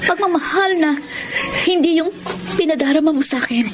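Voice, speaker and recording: An actress playing a torn young woman who leaves one lover for another, in an emotional, pleading radio drama delivery over loud music. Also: high voice, normal speed, natural voice. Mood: resolute. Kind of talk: 1980s Filipino radio drama acting.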